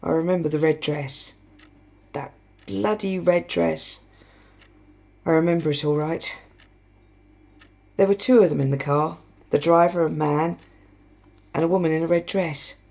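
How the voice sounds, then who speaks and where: An actress playing a reflective, haunted wife in a radio play, with a measured way of speaking. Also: female voice, sits low, steady tone, creaky, darkish tone, full character voice. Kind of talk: radio play acting with letter-like narration.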